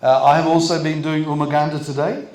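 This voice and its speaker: An older Australian pastor preaching in short, gentle phrases, pausing so an interpreter can translate his sermon. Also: a little high, steady tone, a crowd in the background. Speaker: visiting pastor. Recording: roomy hall echo.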